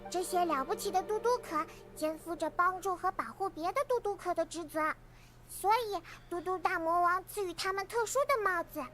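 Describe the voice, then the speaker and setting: A woman voicing a cheerful, eager little girl adventurer in an anime video game, with childlike, earnest and expressive delivery. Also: extremely high pitch, a little fast, over loud music. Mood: earnest, proud.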